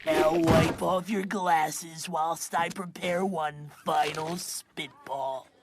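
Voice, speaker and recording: A woman voicing a pompous, scheming villain boy in a cartoon fandub, gloating with drawn-out, theatrical menace. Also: low voice, fairly even intonation, very noisy. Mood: smugly devious. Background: loud music.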